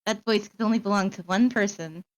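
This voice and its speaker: A woman streamer reading a visual novel aloud and chatting, with a measured, explanatory way of talking. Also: mid-range voice, poor audio. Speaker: streamer and narrator. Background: background music.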